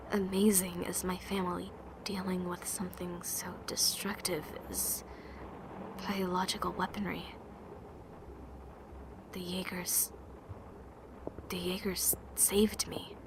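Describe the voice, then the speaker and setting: A stoic, protective young woman played by a woman in a fan audio drama. Her voice is on the low side and very unhurried, soft and measured. The amateur recording has clear noise behind it. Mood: worried, defensive. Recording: clearly noisy amateur voice track.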